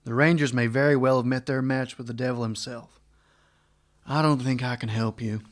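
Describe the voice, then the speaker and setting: An actor playing a well-mannered small-town marshal in a western radio drama, wry, plain-spoken and wary. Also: male voice, mid-range voice, fairly even intonation, normal speed, close to an everyday voice. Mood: wry, skeptical.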